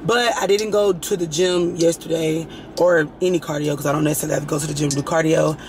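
Raspy young woman vlogging, kinda hoarse, rambles and swears casually, light background noise. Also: sits low, steady tone, quick pace, a little mellow, poor audio. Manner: chatty, rambling, frank, swears freely. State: hoarse, itchy throat. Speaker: lifestyle vlogger. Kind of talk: casual chat to camera.

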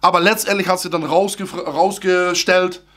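A man in his thirties talks straight into a close camera in a small room, with a fairly high voice. He sounds animated and chatty, and his grammar slips now and then. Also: normal speed, over loud music. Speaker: theme park YouTuber. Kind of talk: casual vlog talk to camera. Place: small room full of fan merchandise, indoors. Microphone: camera at close range.

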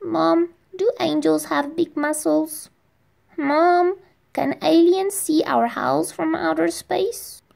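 A small boy knight with a raised, high voice, earnest and easily frightened, as a woman puts him on for a bedtime story. His questions come out pleading and slow, with a gravelly edge. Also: darkish tone, heavily acted. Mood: anxious.

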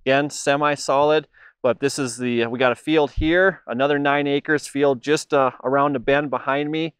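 A man's voice: mid-range voice, fairly even intonation, normal speed, fairly bright tone, smooth, no room sound, clean studio sound. A middle-aged man who manages a seed program gives a plain-spoken walking tour of a cleaning plant and wheat fields, with many "uh" fillers. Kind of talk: informal walk-through tour.

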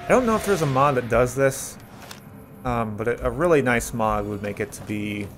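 A man talks his way through a video game in a casual, rambling manner. His voice sits at an average pitch, and he thinks aloud as he goes, with game music clearly playing behind him. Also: lively intonation, moderate pace. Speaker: gameplay host.

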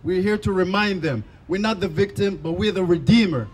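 A man's voice: high-pitched, normal speed. A young man of African origin giving a defiant speech at an outdoor rally into a handheld mic. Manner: defiant, declaratory. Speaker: rally speaker. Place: outdoors in a park.

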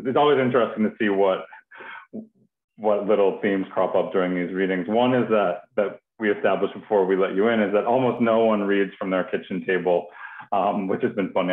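A low-voiced American man speaks as the poet host of a reading. His delivery is thoughtful and measured in tone. The sound is narrow and phone-like, with clear room echo from a kitchen video call. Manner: halting, thoughtful, repeats words. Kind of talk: informal hosting and poetry reading. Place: small domestic kitchen, indoors. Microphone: headset on a video call.

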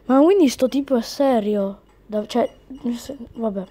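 High-voiced woman commentating a game. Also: measured pace, warm tone. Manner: chatty, reads game text aloud mid-commentary. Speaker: gaming video host.

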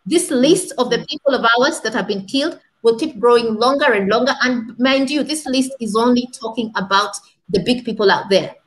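A woman's voice: on the high side, normal speed, poor audio. A woman in her thirties giving impassioned commentary as a panel guest on a video call, asking rhetorical questions and repeating points for stress. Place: small room indoors. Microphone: laptop webcam video call.

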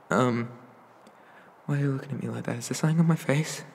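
A man playing a shy, awkward, inexperienced young incubus demon in an ASMR roleplay, stammering and apologetic, with a husky, breathy voice. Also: mid-range voice, normal speed, long reverb tail, slight background noise, lightly acted. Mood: nervous bluffing.

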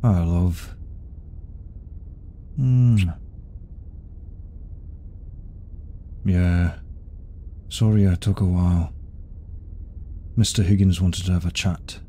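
Devoted CEO boyfriend played by a male actor, dark mellow tone, very slow and intimate ASMR roleplay. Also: low-pitched, steady tone. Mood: casual, evasive. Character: devoted, secretive male CEO romancing his secretary. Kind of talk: intimate ASMR roleplay acting, one side of a dialogue.

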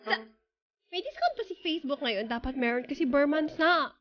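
A woman's voice: high-pitched, animated, brisk, lo-fi sound, over music. A young woman with a Filipino accent chatting casually on a livestream from her bedroom, greeting viewers and mixing English with Tagalog. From Philippines. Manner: chatty, playing with a voice changer, switching English and Tagalog. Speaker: streamer. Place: small bedroom. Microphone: studio mic on stand with earphones.

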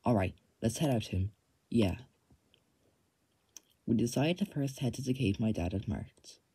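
A man's voice: mid-range voice, expressive, unhurried, dark tone, breathy edge. A man casually reading game dialogue aloud and commenting as he plays. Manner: reads on-screen text in a plain voice. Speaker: let's play gamer.

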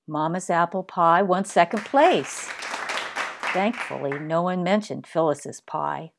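Expressive woman reading a children's storybook, lower-pitched. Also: slow, creaky, a crowd in the background, background noise. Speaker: storybook narrator.

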